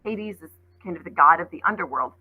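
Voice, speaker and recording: A woman's voice: on the low side, expressive, fairly quick, breathy edge, narrow, tinny audio. A woman schoolteacher reading a children's story aloud expressively and explaining it plainly for young listeners.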